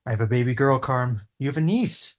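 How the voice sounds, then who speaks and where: A man's voice: mid-range voice, expressive, fairly quick. A man reading a pushy, friendly in-law's lines at a casual table read. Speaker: cast member at a table read.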